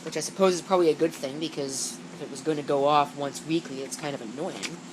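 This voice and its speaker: A woman with a low-pitched voice talks through a product in a plain, rambling way. She reads details off and corrects herself as she goes. The amateur camera microphone picks up a steady noise behind her. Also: fast talker. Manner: rambling, matter-of-fact, self-correcting. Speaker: gadget reviewer showing an unboxing. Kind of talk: casual unscripted product walkthrough, reading packaging aloud. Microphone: built-in camera microphone. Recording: steady background hum, amateur camera audio.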